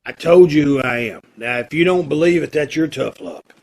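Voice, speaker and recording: A man's voice: a little high, normal speed, vocal fry, darkish tone, husky. A man acting a stubborn, unrepentant condemned murderer in an old-time radio play, plain-spoken and stoic. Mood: evasive, stubborn. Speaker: amateur radio-troupe actor.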